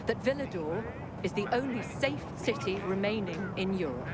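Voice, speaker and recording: A woman playing a grave, principled radio news anchor in a game, with a solemn, measured, emphatic delivery. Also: mid-range voice, animated, heavy background noise, natural voice. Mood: formal. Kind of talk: scripted radio news bulletin. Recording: loud music bed under voice.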